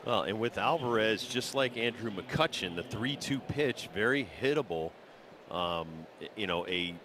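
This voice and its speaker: A man with a fairly low voice gives color commentary on a live baseball game. He explains things conversationally, like talk between colleagues, over some outdoor background noise. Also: a bit bright. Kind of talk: live analytical sports commentary.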